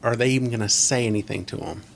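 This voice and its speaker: A man with a scratchy voice hosting a casual podcast, rambling and opinionated, close to a desk microphone on a boom arm. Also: steady tone, fast, creaky. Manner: opinionated, rambling, asks leading questions. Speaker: podcast host. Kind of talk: casual chat. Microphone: close desk mic on a boom arm.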